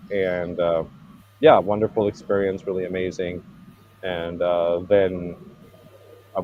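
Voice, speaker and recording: A man, an event organizer, talking in a casual interview, halting and restarting often with many fillers. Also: low-pitched, a little mellow, muffled recording, slight background noise. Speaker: convergence organizer. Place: Thailand.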